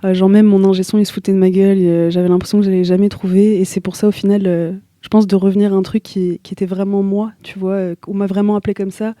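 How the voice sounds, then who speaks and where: A young woman from Italy, a singer being interviewed, talking in a relaxed, slangy way and often pausing to search for her words. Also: medium pitch, no room sound, studio quality. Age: young adult. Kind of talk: casual interview chat.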